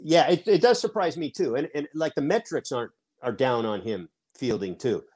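A man's voice: medium pitch, expressive, normal speed, a little mellow, breathy edge. A middle-aged man from Seattle, a baseball writer on a sports talk podcast, making his case emphatically and at length. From Seattle, USA. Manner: emphatic, argues a point at length.

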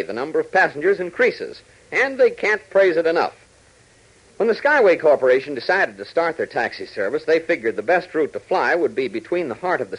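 A man's voice: fairly high pitch, husky. An American man delivering scripted narration as a 1940s radio announcer. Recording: dull vintage 1940s radio recording.

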